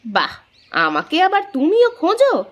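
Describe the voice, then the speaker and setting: A woman's voice: extremely high pitch, wildly swooping pitch, measured pace, muffled recording, exaggerated voice. A woman playing a playful, teasing village wife in a cartoon, speaking in a coy, colloquial way. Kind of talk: cartoon voice acting in a village moral tale.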